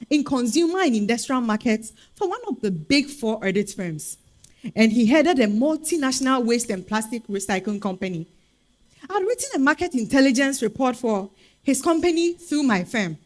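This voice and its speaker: A woman with a Ghanaian accent playing a spirited single woman telling her dating story in a comic stage reading. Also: high-pitched. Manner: expressive, exasperated storytelling. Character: Araba, spirited Ghanaian single woman hunting foreign men.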